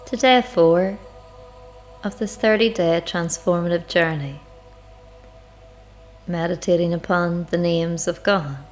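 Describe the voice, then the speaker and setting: Slow, low-voiced woman leading meditation. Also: fairly even intonation. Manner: unhurried, reflective, measured with long pauses. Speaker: guided meditation leader. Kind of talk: slow spiritual guided meditation narration. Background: music.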